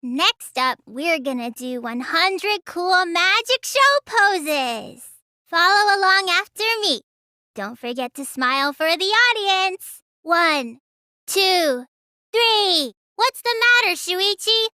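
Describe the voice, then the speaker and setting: A woman voicing a petulant, proud teenage girl mage for an English video game, with a childlike, listless manner that can turn excitable. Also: extremely high pitch, takes it slowly, dry recording, studio quality, heavily acted. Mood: excitable, bossy. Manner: childlike, listless drawl with sudden excitable bursts.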